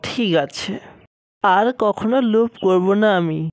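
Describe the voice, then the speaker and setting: A woman's voice: medium pitch, slow, heavy background noise. A woman voicing a naive, greedy, playful Moon character in a children's cartoon. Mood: sheepish. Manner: naive, exclaiming.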